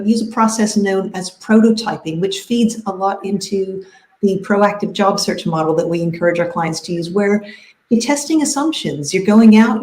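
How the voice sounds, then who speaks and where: A woman's voice: medium pitch, mellow. Woman, career coach, enthusiastic, conversational, video call, echoey room. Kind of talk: live panel discussion.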